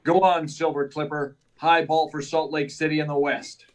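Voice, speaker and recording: A stalwart superhero of old-time radio, played by a man, speaking in a fairly high male voice a little way from the mic. He is self-assured and declamatory, talking himself through each feat. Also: little pitch movement, normal speed, distant mic, close to an everyday voice. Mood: triumphant. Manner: declamatory, self-assured, narrating his own actions. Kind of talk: 1940s radio serial acting.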